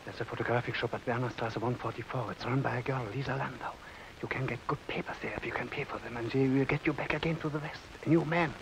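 Actor as a quick, hushed helper, whispering urgent instructions; noisy old film sound. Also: male voice, medium pitch, steady tone, natural voice.

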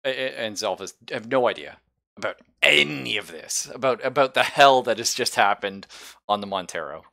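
A man's voice: mid-range voice, lively intonation, moderate pace, vocal fry, bright, forceful tone. A man casually narrating a tabletop roleplaying game as game master, in a chatty, conversational way.

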